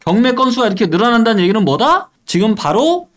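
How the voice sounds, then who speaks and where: A man's voice: sits high, big pitch swings, fast. A man explaining real estate data charts in an online lecture, asking rhetorical questions and answering them himself. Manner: leads into points with a repeated "so". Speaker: real estate data analyst and channel host.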